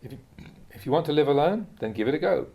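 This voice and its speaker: Man reading spiritual teachings, measured delivery. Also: on the high side, animated, creaky, warm tone, breathy edge. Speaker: reader of translated Buddhist teachings. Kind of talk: audiobook-style reading of spiritual talks.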